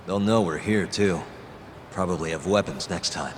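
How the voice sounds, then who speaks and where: A man's voice: low-pitched, normal speed, a little hiss or hum, natural voice. A man playing a level-headed, resolute investigator in a video game cutscene, casual and matter-of-fact. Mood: calm, calculating. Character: level-headed, resolute male investigator, adult, quick-thinking. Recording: long reverb, music behind.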